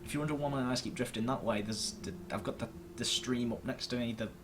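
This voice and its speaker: Man chatting casually over clear background noise. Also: mid-range voice, quick pace. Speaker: host of a gaming video series. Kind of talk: casual gameplay commentary to camera. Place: indoors at a computer screen.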